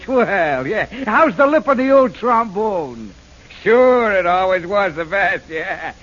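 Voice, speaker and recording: Jokey music publisher guy, bright lively voice, muffled radio. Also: high voice, slow, full character voice. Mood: breezy. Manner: wisecracking, breezy, laughs a lot. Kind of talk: 1940s radio acting. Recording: muffled old radio broadcast.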